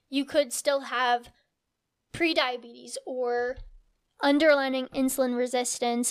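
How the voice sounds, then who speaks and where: A woman's voice: high-pitched, clear, resonant tone. A young adult woman hosting a health podcast, explaining and encouraging listeners in an earnest way. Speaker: podcast host. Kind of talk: educational health podcast monologue.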